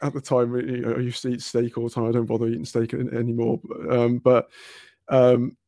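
A man's voice: medium pitch, completely flat delivery, brisk, dark tone. A man from Wales, telling rambling anecdotes in a casual chat, often restarting and laughing.

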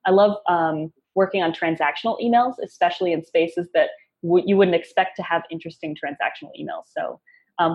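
Casting: woman copywriter guest, fairly low voice, upbeat and quick, joking asides, dull lo-fi call sound. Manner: upbeat, quick, self-deprecating humor. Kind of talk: casual interview talk.

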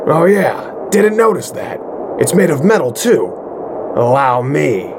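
A man playing a hesitant, slow-witted rock strongman superhero in a comedy audio drama, halting and awkward. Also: on the high side, sing-song. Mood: uneasy, obliging. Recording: loud background noise.

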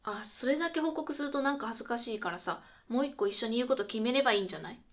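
A woman playing a cheerful radio host in a scripted comedy, chirpy and polite with quick retorts. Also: fairly high pitch, lively intonation. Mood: animated. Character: cheerful female radio host, playful straight man to sidekick. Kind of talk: scripted comedic late-night radio chat.

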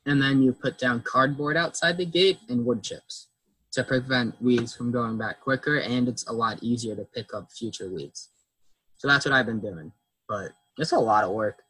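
Plain, halting man, husky, R sounds slightly off, casual chat. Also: mid-range voice, pushed and bright, dry recording, rough recording. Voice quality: trouble with R sounds. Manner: halting, repeats words, plain. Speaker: farm volunteer.

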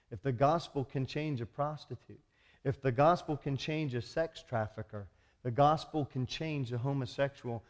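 A man preaching a sermon as a pastor, emphatic, often putting rhetorical questions to his congregation. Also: medium pitch.

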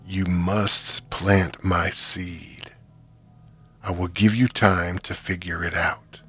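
A monstrous insect creature with a human face, voiced by a man hisses in a deep, buzzy voice. Every word comes slowly and deliberately, low-pitched and cold. Faint music plays behind it. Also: little pitch movement, a bit bright, breathy edge, heavily acted. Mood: menacing. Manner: slow, measured, menacing. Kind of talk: horror story narration.

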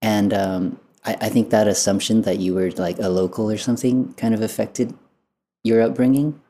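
A woman's voice: very deep voice, steady tone, normal speed, dark tone. A woman in her thirties hosting a podcast, chatting casually in a friendly interview style. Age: 30s. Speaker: podcast host.

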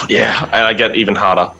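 A man's voice: fairly low pitch, lively intonation, moderate pace, vocal fry, over loud music, rough recording. A man joking around as a player in a tabletop game. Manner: joking, laughing.

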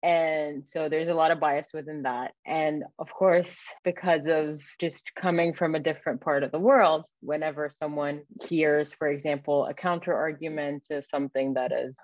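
A woman's voice: deep voice, steady tone, moderate pace, few pauses, a little mellow, no room sound, muffled recording. A woman in her twenties from Egypt, answering interview questions as a researcher in an informal, reflective, conversational way. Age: 20s. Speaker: guest, early-career academic researcher.

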